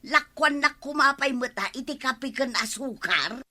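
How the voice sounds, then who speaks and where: An actress with an Ilocano accent playing an excitable, nagging village woman in a radio comedy drama. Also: sits high, moderate pace, exaggerated voice. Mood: bickering.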